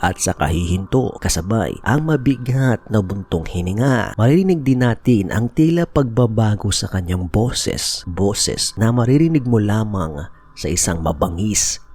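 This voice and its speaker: A man's voice: on the low side, lively intonation, a little slow, a little mellow, slightly rough. A Filipino man narrating a scripted horror explainer as a storyteller, mixing in English phrases. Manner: storytelling, switches between Tagalog and English phrases. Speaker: YouTube narrator.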